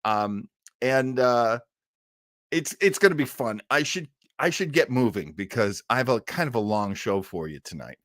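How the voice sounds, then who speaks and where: Animated man hosting a casual livestream. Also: mid-range voice, normal speed, no room sound, clean studio sound. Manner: rambling, enthusiastic, theatrical swoops. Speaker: host of a live-streamed old-time radio show. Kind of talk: casual live stream chat.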